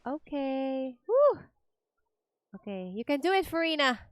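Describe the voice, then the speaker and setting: A woman streamer reacting live to game cutscenes with excitable exclamations. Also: sits high, measured pace.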